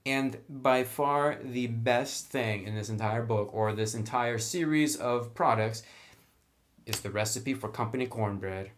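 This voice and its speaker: A man's voice: mid-range voice, measured pace. Casual, enthusiastic male reviewer. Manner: conversational, enthusiastic about the material. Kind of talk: casual tabletop rulebook review.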